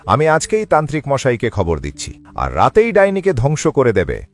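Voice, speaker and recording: An earnest village man from a cartoon, acted by a man. His voice is mid-pitched and very lively, over faint music. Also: moderate pace. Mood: eager. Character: anxious earnest male villager, deferential. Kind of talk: Bengali cartoon voice acting.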